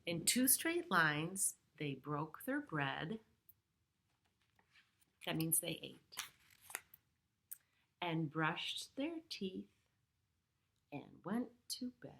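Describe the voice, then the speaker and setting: A middle-aged woman reads a storybook aloud for preschool children. Her delivery is slow and her voice is bright. She explains things simply, as one does for young listeners. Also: deep voice, big pitch swings, gravelly. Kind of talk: picture-book read-aloud with explanatory asides.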